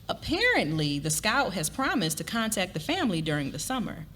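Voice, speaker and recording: A woman's voice: lowish voice, very animated, a little fast, a bit bright. A woman narrating a scripted story expressively at a live dramatic reading. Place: live event before an audience. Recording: live reading with clear ambient noise.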